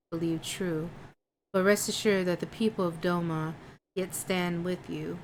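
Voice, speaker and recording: A woman's voice: lowish voice, a little mellow, a little hiss or hum, close to an everyday voice, low-quality recording. A woman voicing a composed young female warrior from a fantasy game, speaking formally and solemnly.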